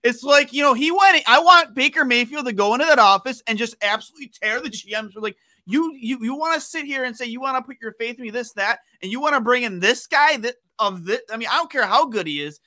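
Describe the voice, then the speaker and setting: An American man hosting casual sports talk, halting and repeating himself in an excitable way. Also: very high voice, no room sound. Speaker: podcast host.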